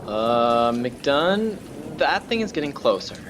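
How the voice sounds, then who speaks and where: Puzzled, uneasy lighthouse companion played by a man, mid-pitched, hesitant and sceptical, radio play with rain behind. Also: sing-song, slow pace. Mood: uneasy, baffled. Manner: hesitant, casual, questioning. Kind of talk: student radio play acting. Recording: rain and thunder noise behind. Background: rain and thunder.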